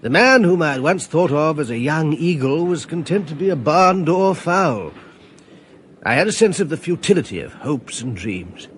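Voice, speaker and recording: A man's voice: on the high side, a little hiss or hum. An actor narrating a radio drama as a reflective middle-aged adventurer looking back on his life. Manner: wry, reflective.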